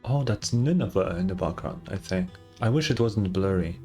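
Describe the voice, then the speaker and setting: A man's voice: low-pitched, lively intonation, moderate pace, mellow, soft background music. Young man doing casual game commentary. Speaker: gaming streamer. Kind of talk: casual playthrough commentary, reading story text aloud.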